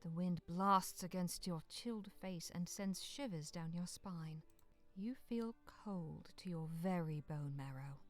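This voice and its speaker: A woman narrating a bleak, frozen monster-hunting visual novel as a solemn storyteller, with phrases sinking into hush. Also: lowish voice, a little slow, faint music behind, close to an everyday voice. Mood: somber, hushed. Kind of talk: scripted second-person dramatic narration. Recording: clear noise behind the voice.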